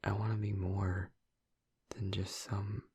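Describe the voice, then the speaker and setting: A man playing a gentle, earnest vampire boyfriend in an intimate audio roleplay, speaking softly and haltingly in a husky, breathy, hushed voice. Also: lowish voice, level tone, no echo, clean studio sound, full character voice. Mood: earnest, resolute. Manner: halting, soft-spoken, confiding with long pauses. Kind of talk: intimate audio roleplay, ASMR-like soft delivery.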